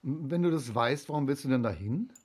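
A man's voice: medium pitch, expressive, a little fast, a little mellow, slightly rough. A man acting an anxious, bookish foster father in a children's radio play, hesitant and fretful.

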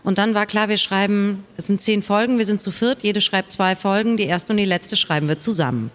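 A woman's voice: mid-range voice, fairly quick. A woman author talking casually and colloquially in a panel discussion.

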